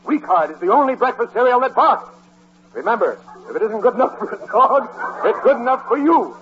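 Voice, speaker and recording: A man in a 1940s radio comedy, hamming up a mock commercial in an exaggerated pitchman's manner. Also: expressive, fairly quick. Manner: hammy, exaggerated pitchman delivery. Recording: muffled 1940s radio broadcast with loud hiss.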